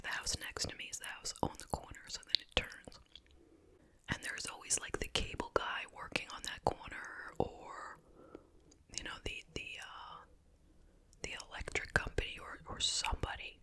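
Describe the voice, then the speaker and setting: A woman whispering softly and breathily in a relaxed, rambling, conversational ASMR style, as the host of an eating video. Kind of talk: whispered ASMR chit-chat, eating show. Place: at home in a residential house.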